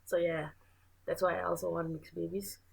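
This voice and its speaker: A young woman from Suriname with a Surinamese accent, whose first language is Dutch, reading facts aloud and chatting about them in a halting, laughing vlog. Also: lowish voice, level tone, creaky, husky, rough recording. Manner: chatty, halting, restarts words, laughs often. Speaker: vlogger.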